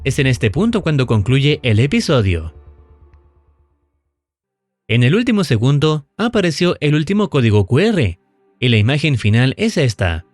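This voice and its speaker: A man reading scripted narration in a measured, explanatory storytelling way, as in a recap and analysis video. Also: mid-range voice, very animated, no room sound. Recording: music under the voice. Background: background music.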